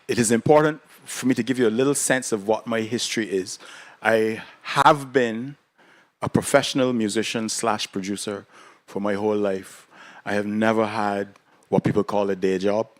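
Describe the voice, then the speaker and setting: Slow, measured low-voiced man. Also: no room sound. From Barbados. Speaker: panelist. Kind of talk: panel discussion talk. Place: conference hall panel.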